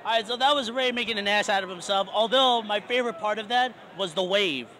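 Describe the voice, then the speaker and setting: A man's voice: high-pitched, quick pace, pushed and bright, soft background music. A man hosting a casual fan video, chatting upbeat and introducing clips. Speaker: host. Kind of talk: casual panel chat.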